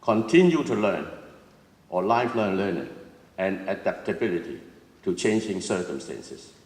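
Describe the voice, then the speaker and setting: A man giving a measured, formal address to students at an opening ceremony. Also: deep voice, lively intonation, darkish tone. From Hong Kong. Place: large auditorium. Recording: echoey hall, long reverb.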